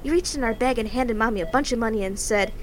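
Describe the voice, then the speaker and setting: A woman's voice: a little high, fast, a little hiss or hum, close to an everyday voice. A woman voicing an earnest, curious young girl in an audio drama. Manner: earnest, eager.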